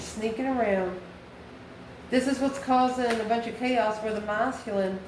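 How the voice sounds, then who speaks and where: A woman giving an informal tarot reading straight to the viewer, musing aloud and answering her own questions. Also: on the high side. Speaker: tarot reader and channel host. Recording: echoey room with steady background noise.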